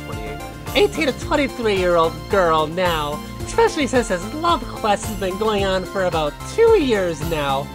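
A woman voicing a naive, cocky young man in an amateur comic dub, with a melodramatic manner. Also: medium pitch. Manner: melodramatic, self-satisfied.

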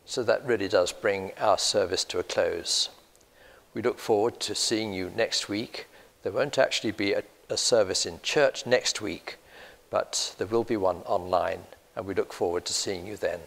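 Casting: older male priest, low gravelly voice, measured liturgical delivery, reverberant church. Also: a bit bright. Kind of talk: church service blessing. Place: inside a large church.

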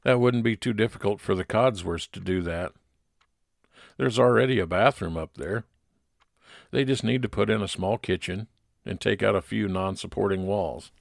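A man as a low, gravelly private eye narrator. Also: normal speed, no room sound, clean studio sound. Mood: matter-of-fact. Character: Billy, low-voiced 1940s private investigator narrating his case. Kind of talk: old time radio detective narration.

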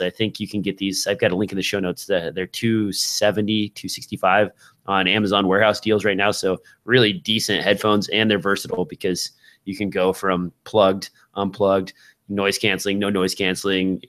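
Low-pitched man hosting a podcast. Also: little pitch movement, brisk, a bit bright. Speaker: podcast host. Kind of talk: casual tech gear discussion. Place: small indoor room with shelves of gear. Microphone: broadcast microphone on a boom, close up, with headphones.

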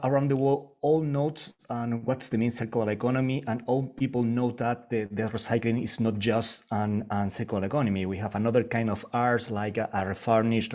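A man from Chile with a Spanish accent, speaking English as a panelist in an online discussion, earnest and halting with many restarts. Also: mid-range voice, darkish tone.

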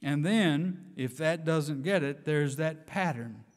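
Older male preacher, higher voice, at a pulpit mic, church reverb. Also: takes it slowly. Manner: earnest, emphatic preaching with scripture readings. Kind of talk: sermon. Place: church sanctuary, speaking from a pulpit. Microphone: pulpit microphone.

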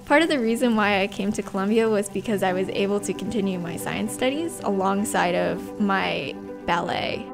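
A woman's voice: medium pitch, normal speed, gravelly, hardly any pauses. An American woman of about twenty from New Jersey, a college student speaking reflectively in an interview. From New Jersey, United States. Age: around 20. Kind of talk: reflective personal interview narration. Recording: clean voice under loud background music. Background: prominent music.

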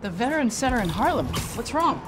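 Casting: a young, quippy, determined video game hero, fairly high voice, under loud score. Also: female voice, very animated, fast. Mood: concerned, focused. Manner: wisecracking, earnest. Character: young, quippy, determined masked city superhero. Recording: loud music over the voice. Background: loud game music.

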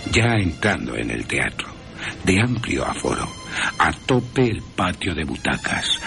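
Very deep, gravelly male storyteller. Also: fairly bright tone. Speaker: narrator. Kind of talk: scripted storytelling, descriptive narration. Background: faint music.

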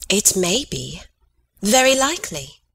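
Woman acting a bright-voiced, deliberate queen. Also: medium pitch, big pitch swings, creaky, breathy edge, no room sound, exaggerated voice. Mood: concerned, direct. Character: regal queen, concerned and direct mother. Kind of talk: classical Shakespearean stage acting, dramatic reading.

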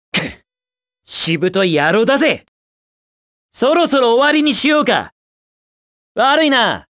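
A cocky young hedgehog hero for a video game. The delivery is upbeat, brash and casually slangy, moving freely up and down in pitch. The sound is dry and studio-clean, with no room around it. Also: male voice, fairly bright tone, no room sound, full character voice. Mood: cocky, taunting. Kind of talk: Japanese video game voice clips.

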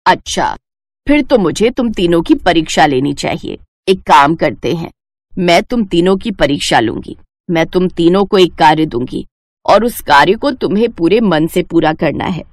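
Woman voicing a low-pitched, commanding old mother-in-law. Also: expressive, normal speed, no echo, clean studio sound. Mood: stern, challenging. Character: stern, shrewd elderly mother-in-law testing her daughters-in-law. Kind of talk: animated moral story dialogue.

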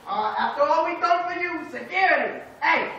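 Gravelly scornful bank boss yelling, puppeteer doing the voice, dull far-off echoey sound. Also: sits high, lively intonation, moderate pace, background noise. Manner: blustering, scornful. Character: rude, contemptuous bank manager. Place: small indoor puppet stage.

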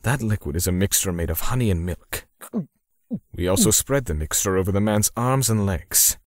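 A man playing a cold, righteous torturer for hire who narrates a manga dub in a measured, grim way. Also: lowish voice, expressive, no echo, lightly acted. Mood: clinical.

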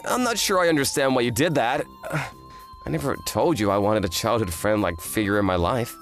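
A woman voices a kind, earnest teenage anime boy, lowish for a female voice and very lively in intonation. He is an excitable, exclamatory type from a romantic comedy dub, with music behind. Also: a little fast. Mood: bewildered.

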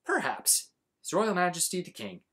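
A man's voice: high-pitched, wildly swooping pitch, normal speed, fairly bright tone, slight background noise, exaggerated voice. A man voicing a stern, pompous storybook king who declaims royal proclamations. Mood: proclaiming.

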